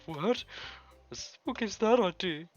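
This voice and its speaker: A man voicing an excitable, touchy teenage anime schoolgirl in a video game read-aloud. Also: sits high, slow, pitched above the performer's own voice. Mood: flustered, defensive. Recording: slightly muffled lo-fi audio, music behind.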